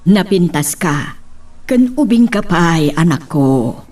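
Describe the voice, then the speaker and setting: A woman's voice: fairly low pitch, animated, slow, darkish tone, distant mic. A woman playing a concerned, protective middle-aged mother who probes and advises in an Ilocano radio drama. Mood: earnest, pleading. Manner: motherly, questioning.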